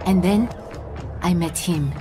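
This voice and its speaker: French-accented mercenary villainess, cold, seductive, taunting, slow, music behind, action game. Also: female voice, fairly low pitch. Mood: wistful, proud. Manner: languid, taunting.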